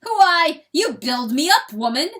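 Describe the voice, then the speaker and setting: A woman voicing a proud, cold male rooster who proclaims loudly and with contempt. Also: sits high, sing-song, takes it slowly, voice pushed up, exaggerated voice. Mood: raging proud.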